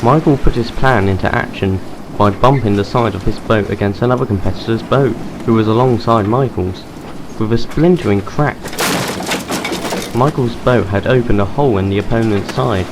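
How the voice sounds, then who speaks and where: A man's voice: fairly low pitch, moderate pace, background noise. A man delivering scripted storybook narration as the narrator of an audio drama.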